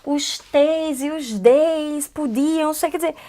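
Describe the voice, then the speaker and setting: Northeastern Brazilian woman, high voice, radio co-host, chatty and reflective. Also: unhurried. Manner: animated, musing, many "não é?" tags. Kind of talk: casual conversation about poetry.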